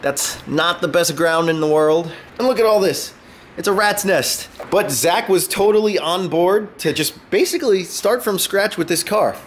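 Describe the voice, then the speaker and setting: A man in his twenties, sick and sounding a bit deeper than usual, chatting upbeat to a handheld camera in his home garage. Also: animated, moderate pace, slight background noise. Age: 20s. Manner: chatty and upbeat, joking with a friend. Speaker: car vlogger. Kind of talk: casual vlog talk to camera. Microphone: handheld camera close to face.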